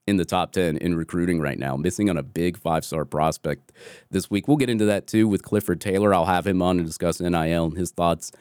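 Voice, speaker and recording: A man hosting a sports podcast, casually recapping a game, his voice hoarse from a cold. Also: sits low, fairly quick, darkish tone, dry recording, studio quality.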